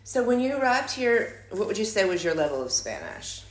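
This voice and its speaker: A woman interviewer in the middle of the pitch range, asking short, plain questions. Her voice carries a roomy echo. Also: big pitch swings, normal speed. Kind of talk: oral history interview. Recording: echoey room.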